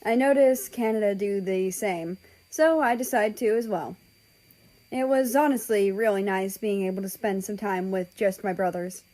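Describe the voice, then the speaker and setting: A woman's voice: medium pitch, normal speed, dark tone, poor audio. A woman reading a first-person story aloud as scripted narration. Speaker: fan fiction reader.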